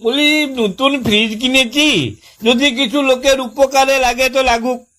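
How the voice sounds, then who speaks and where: A man's voice: extremely high pitch, fairly even intonation, unhurried, bright, forceful tone, exaggerated voice. A man playing an aggrieved village husband in a comic phone-call skit, telling long-winded, folksy complaints in a rural West Bengal accent. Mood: exasperated, rambling. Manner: folksy, long-winded storytelling with exasperated repetition. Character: aggrieved village husband complaining about his new fridge.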